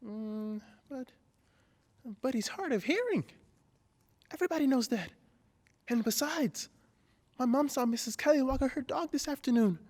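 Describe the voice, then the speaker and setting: A woman's voice: high voice, expressive, normal speed, pauses often, a little mellow. A woman playing a skeptical, easygoing ten-year-old kid in an old-time radio drama, talking in folksy slang. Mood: reluctant. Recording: long hall-like reverb.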